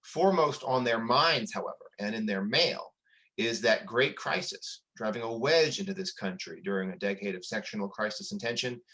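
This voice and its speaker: A man's voice: on the low side, normal speed, sharp tone, poor audio. A man hosting a historical reading, giving commentary with theatrical, literary phrasing. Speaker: narrator and host of a historical reading.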